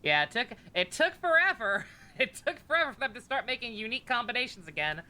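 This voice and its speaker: A woman's voice: fairly high pitch, big pitch swings, fast talker, sharp tone. A woman live-streaming a game with casual, joking, sweary, rambling commentary. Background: game music.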